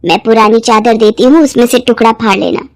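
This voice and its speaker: A woman's voice: fairly high pitch, fairly quick, heavy background noise, close to an everyday voice. A woman voicing a strict, orthodox elderly mother-in-law who scolds and orders people about in a moral-story drama. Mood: disapproving. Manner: scolding, commanding.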